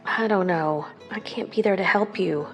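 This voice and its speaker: Weary, loving mother played by an actress, medium pitch, gentle and concerned, audio drama over music. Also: normal speed, natural voice. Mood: worried, hesitant. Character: tired, caring mother recovering in hospital. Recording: music under the voice.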